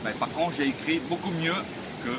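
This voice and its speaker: A man, speaking halting in a casual question-and-answer vlog. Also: on the high side. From Vietnam, of Chinese descent, moved to France at fourteen. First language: not French, learned French as a teenager. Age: 50s. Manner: halting, non-native French phrasing with repeated phrases. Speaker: YouTuber answering viewer questions. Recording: loud wind and ambient outdoor noise.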